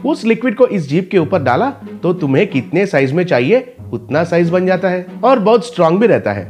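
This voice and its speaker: Cartoon scientist, man narrator acting: kindly, helpful, reassuring, soft music behind. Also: high-pitched, sing-song, brisk. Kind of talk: cartoon story dialogue.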